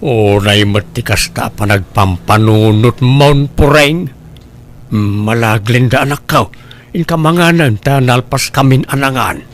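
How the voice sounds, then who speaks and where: A man's voice: mid-range voice, measured pace, close to an everyday voice. A man acting a patient, conciliatory middle-aged husband and father in an Ilocano comedy drama, speaking with an Ilocano accent in a reasoning, placating way. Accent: Ilocano, northern Philippines.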